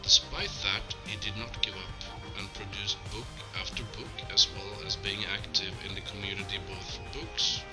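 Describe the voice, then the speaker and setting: A Norwegian man, Norwegian his first language, giving a slow, measured, solemn spoken tribute with small self-corrections. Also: low-pitched, steady tone. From Norway. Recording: clear music bed behind the voice.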